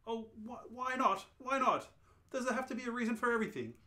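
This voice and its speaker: A woman's voice: on the high side, animated, quick pace, pushed and bright. A woman reading the part of a nervous prisoner, stammering and hesitant, in a radio play. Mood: pleading. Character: nervous prisoner pleading for life.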